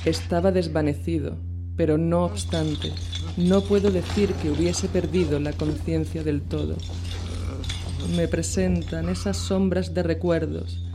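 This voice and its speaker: A woman giving a slow, measured dramatic reading of a horror tale, with long pauses between phrases. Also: fairly low pitch, fairly even intonation, background noise. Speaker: reader.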